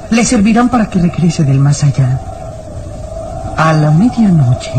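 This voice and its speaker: A man playing a defiant, loyal boy who speaks deliberately and solemnly in an old radio drama. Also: sits high, noisy background. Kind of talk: old Latin American radio drama acting.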